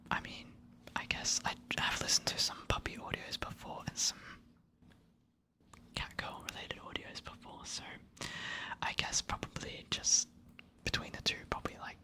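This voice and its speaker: An ASMR creator whispering answers to subscriber questions in a soft, hesitant, apologetic way. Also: normal speed.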